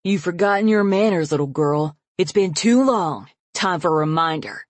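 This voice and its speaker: A woman's voice: mid-range voice, normal speed, dry recording, voice pushed up, natural voice. A woman voicing a furious, abusive middle-aged father in a story reading, speaking in a snarling, menacing way. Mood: menacing, angry.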